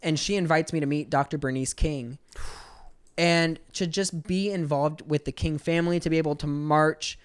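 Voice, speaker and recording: A young American man in his twenties with a fairly high, smooth voice. He talks in an earnest, casual storytelling way, like a podcast guest. The sound is close and dry. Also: fairly even intonation, normal speed, no echo. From Georgia, USA. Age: 20s.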